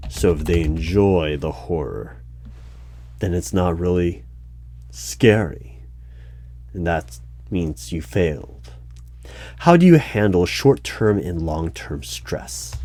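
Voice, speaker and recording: A man playing a dry, unflappable hiring manager in a one-sided ASMR roleplay job interview, asking measured, halting questions. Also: sits low, dark tone, slight background noise. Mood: dry, probing. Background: computer keyboard typing and clicks.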